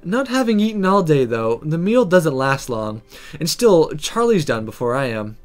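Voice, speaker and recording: A let's player reading a visual novel aloud in a dramatic, sometimes halting storytelling manner. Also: medium pitch, sing-song, faint music behind.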